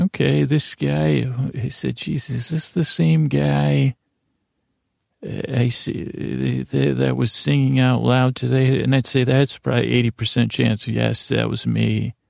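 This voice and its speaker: A man hosting a bedtime podcast in a gravelly voice, with a meandering, halting monologue that keeps interrupting itself and wandering off. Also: mid-range voice, a little mellow, no echo, studio quality. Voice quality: gravelly, creaky. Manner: meandering, halting, self-interrupting, trails off on tangents. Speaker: podcast host.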